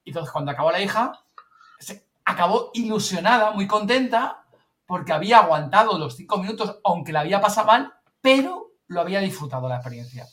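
Guy from spain chatting away super fast on a podcast, bit far from the mic, tells stories real animated. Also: sits high. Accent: Spanish (Spain). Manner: animated storyteller, colloquial, swears casually, quotes others. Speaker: podcast host. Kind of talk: casual anecdote telling among colleagues.

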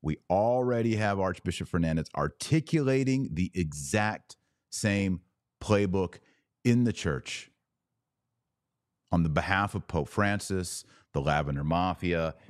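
A man with an American accent and a low-pitched voice hosts a live commentary podcast. He talks straight to his viewers in an emphatic way, repeating words to drive points home. The sound is dry, with very little room in it. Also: a little slow, no echo. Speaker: podcast host and religious commentator.

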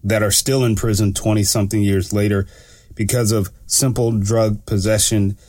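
Low guy hosting a radio show, kinda stuffy nose, dry close sound, lots of uhs. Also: normal speed, no echo. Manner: relaxed, rambling with many fillers. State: stuffy from allergies. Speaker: radio show host and law enforcement analyst. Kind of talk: casual solo radio talk.

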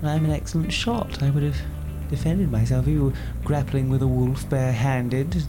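Nervous novice explorer, played by a man: hesitant, stammering, devoted, easily indignant, comic audio play over clear music. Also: mid-range voice, moderate pace, lightly acted. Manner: stammering, hesitant, earnest and slightly pompous. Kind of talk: improvised comic audio drama. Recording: music behind the voice.

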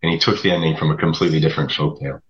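A man being interviewed as a writer, explaining things conversationally in a halting way. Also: rumbling low, fairly even intonation, dull audio.

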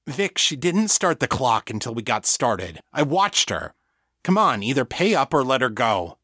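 A man's voice: mid-range voice, very animated, measured pace, bright, forceful tone, slightly rough, no room sound, exaggerated voice. A reasonable male peacemaker as a man narrating puts him on in an audiobook story. He speaks firmly and pleadingly, like a protective friend, recorded very dry.

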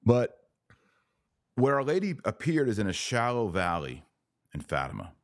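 A man's voice: lowish voice, big pitch swings, moderate pace, no room sound, studio quality. A man hosting a podcast in casual, conversational commentary with a blunt, opinionated way of talking. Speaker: podcast host.

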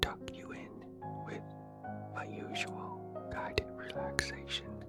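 A man hosting a sleep relaxation podcast in a breathy whisper, speaking in a gentle, soothing ASMR style. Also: takes it slowly, over loud music. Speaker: podcast host and relaxation guide.

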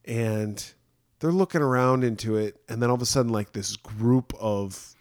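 A man co-hosting a movie podcast, retelling a film's plot in animated casual chat, acting out the characters' lines. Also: medium pitch, normal speed, dry recording, studio quality. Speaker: podcast co-host.

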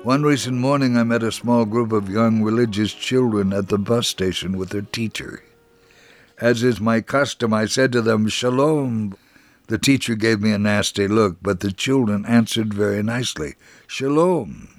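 A man's voice: on the low side, moderate pace, no echo, faint music behind, natural voice. An actor playing a devout, friendly elderly Israeli man in a dramatic first-person reading. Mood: earnest, friendly.

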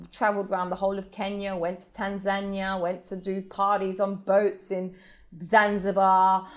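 A woman in her thirties, a professional belly dancer, telling her life story frankly and emphatically in an interview, often restarting her sentences. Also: mid-range voice. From England. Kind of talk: candid personal storytelling in an interview.

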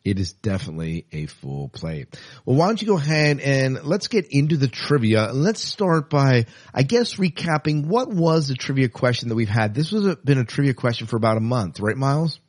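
A man's voice: mid-range voice, very animated, normal speed, dry recording, clean studio sound. A man hosting a podcast in a casual, chatty and enthusiastic way. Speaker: podcast host.

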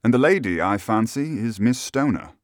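Man acting gentleman detective, shrewd, authoritative, brisk, courteous, storybook reading, dry sound. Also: mid-range voice, animated, no room sound, voice pushed up, natural voice. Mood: observant.

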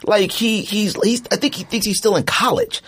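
A man's voice: sits high, moderate pace, husky. A man co-hosting a podcast, ranting in a loose, slangy, rambling way about a football team's season. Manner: animated, rambling, slangy rant. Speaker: podcast co-host. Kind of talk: casual sports chat.

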